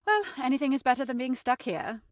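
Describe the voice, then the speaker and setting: A woman's voice: high voice, animated, fast, no echo, studio quality. A woman playing a refined, haughty young lady of a mansion in a game, speaking in a prim, wry, articulate way.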